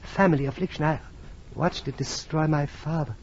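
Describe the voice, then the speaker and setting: A man's voice: fairly high pitch, normal speed, a little mellow, natural voice. A man acting a tormented, morbidly sensitive aristocrat in 1940s radio drama, nervous and melodramatic, repeating his words. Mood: agitated, anguished. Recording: dull, noisy vintage radio recording.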